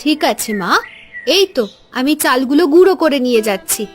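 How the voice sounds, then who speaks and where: A woman playing a gentle young village mother. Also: sits high, a little fast, faint music behind. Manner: gentle, calm.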